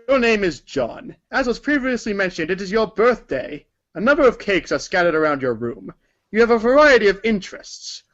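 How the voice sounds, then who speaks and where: A man's voice: high-pitched, a bit bright. A man reading scripted narration for a read-along story performance, in a dull recording.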